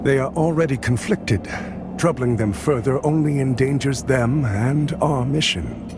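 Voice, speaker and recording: An actor playing a zealous, secretive holy man in a dark fantasy game, formal, measured and authoritative. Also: medium pitch, noisy background, natural voice. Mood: solemn command.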